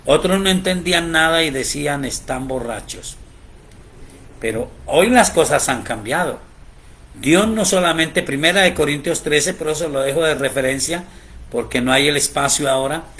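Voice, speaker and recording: Guy preaching a bible lesson, kinda high voice, slow and emphatic. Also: fairly bright tone. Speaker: pastor. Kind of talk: Bible teaching broadcast.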